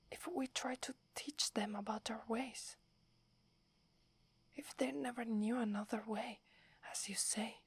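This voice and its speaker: A woman's voice: on the high side, heavily acted. A woman with an Italian accent voicing a devoted young female werewolf who defers to her alpha, in a whispered ASMR roleplay. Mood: hesitant, doubtful. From Italy. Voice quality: breathy whisper. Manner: hesitant, reverent, submissive. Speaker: voice actress.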